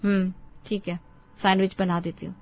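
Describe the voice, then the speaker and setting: A woman's voice: medium pitch, flat delivery, moderate pace, dry recording, lightly acted. A woman storyteller voicing a polite, obliging young daughter-in-law in a family story. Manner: deferential, obliging.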